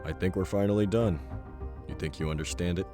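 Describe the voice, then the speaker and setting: A man playing a blunt, tough fighter who knows his guns, with a gruff, clipped delivery. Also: low-pitched, brisk, music in the background, close to an everyday voice.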